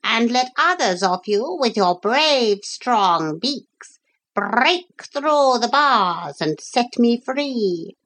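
A woman plays a storybook parrot, female, earnest and dignified. The voice is high and swoops widely, with a husky edge. Her words are formal and precise, as if pleading with great courtesy. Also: animated, drawn-out words, fairly bright tone, full character voice, low-quality recording. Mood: commanding.

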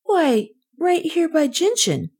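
A woman's voice: very high voice, huge pitch swings, slow pace, darkish tone, pitched above the performer's own voice, full character voice. A woman voicing a determined little storybook boy, troubled and earnest, pleading urgently. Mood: eager, explaining. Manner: urgent, insistent.

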